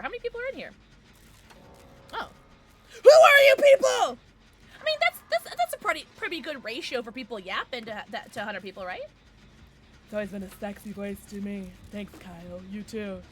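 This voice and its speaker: A woman streamer with a low alto voice, chatting casually with her viewers while she plays, sarcastic and quick to break into squeals and laughs. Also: slight background noise. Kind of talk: casual livestream chat while gaming.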